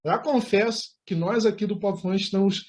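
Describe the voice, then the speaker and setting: A man's voice: high voice, normal speed, darkish tone. A man with a Brazilian Portuguese accent hosting an interview in an enthusiastic, wordy, rather flowery way. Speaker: interview host, anchor. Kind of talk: effusive, formal hosting talk.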